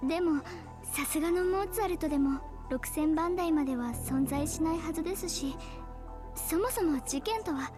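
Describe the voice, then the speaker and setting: A woman's voice: high-pitched, fast talker, close to an everyday voice. An actress playing a sweet, bashful young woman who speaks politely in a visual novel. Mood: earnest, explaining. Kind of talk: Japanese visual novel voice acting. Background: game music.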